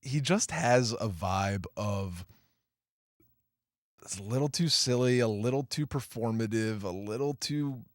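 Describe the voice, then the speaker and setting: A man's voice: mid-range voice, unhurried, no room sound, clean studio sound. A man co-hosting a movie podcast, delivering wry, theatrical quips in casual review chat. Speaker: podcast co-host.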